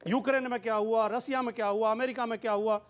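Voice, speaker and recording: A man's voice: very high-pitched, fairly even intonation, quick pace. A man arguing as a party spokesman in a TV debate, emphatic and fond of repetition. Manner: argumentative, emphatic, builds points by repetition. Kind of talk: televised political debate argument.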